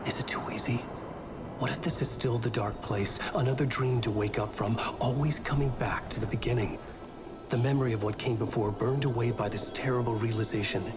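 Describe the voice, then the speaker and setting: A man's voice: medium pitch, fairly even intonation, a little fast, music in the background, close to an everyday voice. A man playing a haunted, weary writer hero in a solemn, brooding, cinematic game performance. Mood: brooding, bleak. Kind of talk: dramatic cinematic game acting, brooding monologue.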